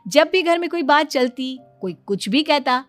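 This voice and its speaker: A woman's voice: high voice, animated, normal speed, no room sound, faint music behind. A woman narrating a scripted moral cartoon story.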